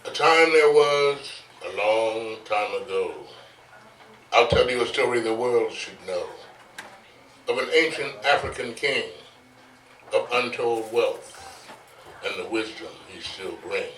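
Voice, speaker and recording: A man performing as a griot storyteller on stage, declaiming in measured phrases with dramatic pauses. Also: mid-range voice, fairly bright tone. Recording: distant, echoey stage recording, faint noise.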